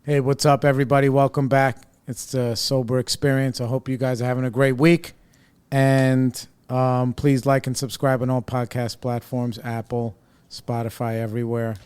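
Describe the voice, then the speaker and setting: A man's voice: mid-range voice, level tone, normal speed, a little mellow, clean tone. A man hosting a podcast, chatting casually and laughing easily with his guests. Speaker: podcast host. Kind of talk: casual interview chat.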